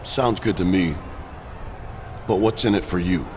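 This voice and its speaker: An actor playing a tough, terse, guarded wrestler in a video game cutscene. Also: male voice, deep voice, a little slow, noisy background. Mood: guarded, resolute. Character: tough, terse male wrestler bent on payback.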